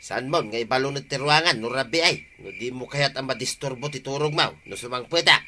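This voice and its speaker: An actor playing an exasperated village husband in a comedy radio drama, grumbling with a northern Philippine Ilocano accent. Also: male voice, mid-range voice, fairly quick, sharp tone, slight background noise.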